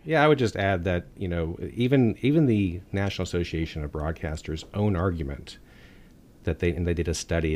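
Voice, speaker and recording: A man's voice: low voice, darkish tone. A man speaking as a guest on a radio show, making his point firmly and matter-of-factly. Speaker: guest on a music industry show. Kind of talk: arguing a case in a radio discussion.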